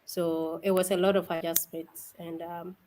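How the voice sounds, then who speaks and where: A young woman from Ghana, a guest on an interview show, cheerful and soft-spoken, laughing often as she talks. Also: on the low side, little pitch movement, moderate pace, a little hiss or hum. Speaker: guest, event organizer. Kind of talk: casual interview.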